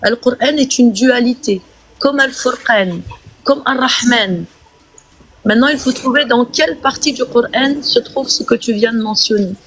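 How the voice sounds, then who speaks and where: A woman's voice: fairly high pitch, moderate pace, slight background noise, rough recording. A woman lecturing insistently in a heated religious debate on a live stream, repeating her key points. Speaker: guest speaker in a live debate.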